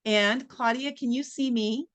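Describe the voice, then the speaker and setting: Brisk, upbeat woman hosting an event. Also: on the high side, steady tone, a bit bright. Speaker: event host. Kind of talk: hosting an online networking event. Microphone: video call.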